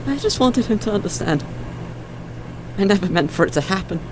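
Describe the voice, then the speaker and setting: An actor playing a nervous, earnest young job candidate in an audio drama, halting and apologetic in his speech. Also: fairly low pitch, background noise, natural voice. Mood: anguished. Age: young adult.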